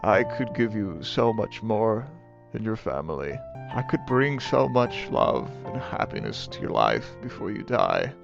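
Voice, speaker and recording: Male actor as possessive vampire doctor, creaky voice with wild pitch swings, dull recording. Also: very animated, unhurried, heavily acted. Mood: menacing pleading. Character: lovesick, menacing male vampire plague doctor. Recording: dull game clip under loud music. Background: loud music.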